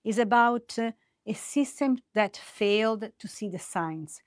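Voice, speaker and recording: A woman's voice: mid-range voice, a little slow, dry recording, studio quality. A woman with an Italian accent, a native Italian speaker, hosting and narrating scripted true-crime stories in short, dramatic phrases. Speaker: host of a true-crime series.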